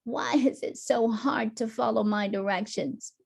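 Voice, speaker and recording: Exasperated high-voiced scolding mother played by a woman. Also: measured pace, gravelly, husky, exaggerated voice. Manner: sharp, insistent, nagging questions. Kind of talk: picture book character voice.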